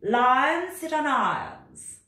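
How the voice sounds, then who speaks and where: A woman's voice: sits high, sing-song, drawn-out words, sharp tone, pitched above the performer's own voice, full character voice. A woman voicing a bossy, impatient know-it-all storybook cat, making emphatic singsong rhyming pronouncements. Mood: insistent. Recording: roomy, distant microphone.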